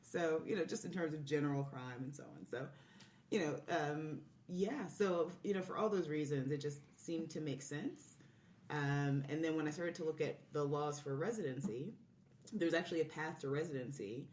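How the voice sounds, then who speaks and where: A woman's voice: deep voice, normal speed, darkish tone. A woman explaining things casually as a podcast guest, recorded in a room. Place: indoor room, recorded in person. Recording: roomy, distant mic, faint noise.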